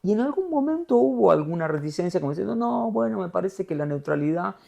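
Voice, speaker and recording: A middle-aged man from Argentina with an Argentine Spanish accent, a TV news anchor being interviewed, talking in a lively storytelling way. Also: high-pitched, fast, creaky. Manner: animated storyteller, voseo, acts out quotes. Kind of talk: conversational interview.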